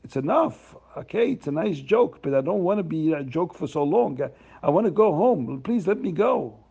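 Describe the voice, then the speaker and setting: A man's voice: a little high, expressive, moderate pace, vocal fry, mellow, husky, voice pushed up, heavily acted. A man voicing a poor, desperate traveler who pleads in a whiny, stammering voice, on a slightly muffled recording. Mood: exasperated.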